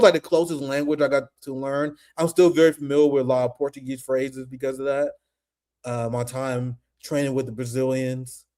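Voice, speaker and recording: A young man hosting a casual political commentary stream, animated and profane, laughing often. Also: medium pitch.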